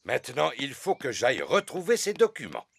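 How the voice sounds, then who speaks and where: A man's voice: mid-range voice, wildly swooping pitch, moderate pace, creaky, fairly bright tone, breathy edge, full character voice. A man voicing a big, cheerful, forgetful father pig in a cartoon. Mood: flustered. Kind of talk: cartoon dub acting.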